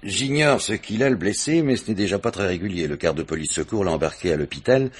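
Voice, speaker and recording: An actor playing an avuncular but insistent police chief in a 1950s-style French radio crime drama, placating yet authoritative. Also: male voice, low voice, animated, quick pace, husky.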